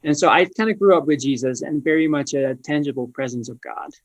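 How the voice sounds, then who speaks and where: A man from the Netherlands, telling a personal story as an interview guest in a halting, think-aloud way. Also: a little high. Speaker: podcast guest, ministry leader.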